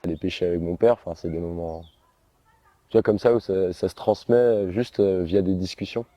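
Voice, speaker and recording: Deep, dark-voiced middle-aged man chatting. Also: normal speed, no echo, muffled recording. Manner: relaxed, chatty, with fillers. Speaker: interviewee. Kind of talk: casual interview talk.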